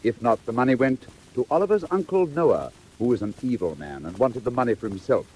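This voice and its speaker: A man narrating a classic story in a theatrical, measured 1940s storybook style. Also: mid-range voice, expressive, darkish tone, a little hiss or hum, lightly acted, poor audio.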